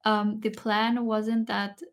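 A young woman whose first language is German speaks as a guest on a podcast, her pitch staying flat and level. She talks hesitantly, with frequent ums and restarts. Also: slow. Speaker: podcast guest, ASMR creator. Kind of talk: casual interview chat.

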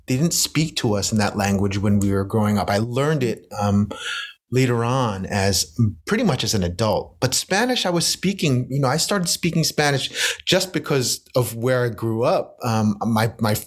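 An American man, a poet, talking as an interview guest in a reflective way, often restarting his sentences and laughing softly. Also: mid-range voice, expressive, normal speed, breathy edge. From United States. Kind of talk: casual conversational interview.

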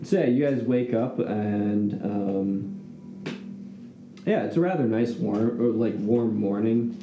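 A man's voice: on the low side, takes it slowly, dark tone, highs cut off. A man acting as game master, narrating casually and loosely as he improvises, with hesitations. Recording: roomy recording with faint noise.

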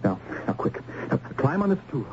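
An actor playing a big, devout monk with an earnest, urgent, halting delivery in an old-time radio drama. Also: male voice, fairly high pitch, big pitch swings, a little mellow. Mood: urgent, tender. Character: large, strong, devout monk smuggling out a condemned girl. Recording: muffled, noisy old radio recording.

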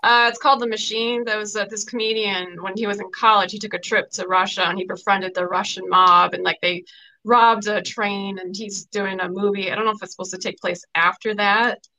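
A woman speaking as a podcast guest in casual chat. She hesitates often and fills pauses with ums. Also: mid-range voice, steady tone, sharp tone, low-quality recording.

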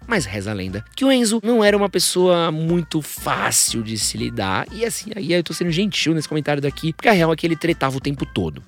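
Fast-talking São podcast host. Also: male voice, fairly high pitch. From São Paulo, Brazil. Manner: joking asides, informal. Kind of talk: scripted biographical podcast narration. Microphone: studio podcast microphone.

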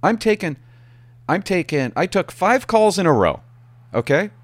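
A middle-aged man, a former police officer, telling work anecdotes casually in a halting, animated way and acting out the dialogue. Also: high voice, dry recording. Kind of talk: casual anecdotal storytelling to viewers.

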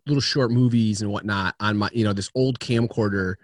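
A man talking casually as a guest on a video-call interview from home, chatty and lively as he tells stories about himself. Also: lowish voice, a little hiss or hum. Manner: chatty storyteller, quick and animated.

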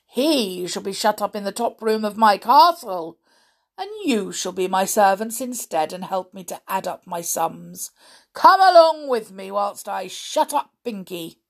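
Lady doing big grumpy dumb giant for a kids story, loud n bossy, drags words out. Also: mid-range voice, lively intonation, unhurried. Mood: gleeful. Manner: roaring, thundering, muttering over sums. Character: huge bad-tempered male giant, bullying and dim.

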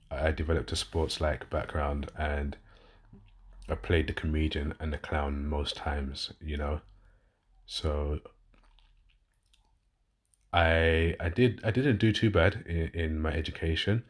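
A man in his thirties with a London accent talks through his own story in a loose, rambling way. He often stops to correct himself and leans on fillers. His voice sits extremely low, with a husky edge and little rise and fall. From London, England. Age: 30s. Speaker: podcast host and fitness coach. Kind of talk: casual personal monologue.

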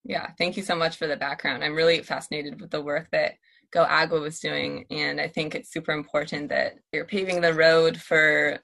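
A woman interviewing a guest on a podcast, asking her questions in a flat way. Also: deep voice, moderate pace, vocal fry.

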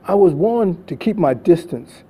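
Older man, soft dark timbre, slightly high pitch, author, dramatic story reading. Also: animated, unhurried, husky.

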